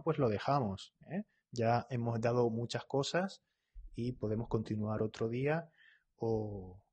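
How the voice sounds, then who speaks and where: A man leads a live online language lesson, reading viewers' comments aloud and correcting them as they come in. His voice is husky and sits in a middle pitch. He talks fast and keeps checking in with short tags like "¿vale?". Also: a little mellow. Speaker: Spanish teacher.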